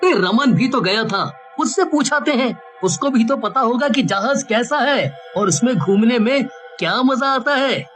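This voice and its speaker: Man voicing an earnest, high-pitched young cartoon villager. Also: animated, measured pace, few pauses, a bit bright, faint music behind, full character voice. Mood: curious. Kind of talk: animated cartoon story dialogue.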